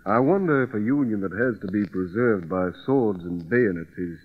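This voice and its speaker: An actor playing a dignified, courteous, principled military colonel, formal and deliberate, in an old radio drama. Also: male voice, fairly low pitch, expressive. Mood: troubled conviction. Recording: tinny phone-like old radio recording.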